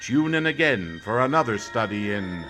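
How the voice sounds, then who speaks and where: A man's voice: on the low side, very animated, normal speed, pushed and bright. A man announcing a radio drama in a dramatic, scripted style. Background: music.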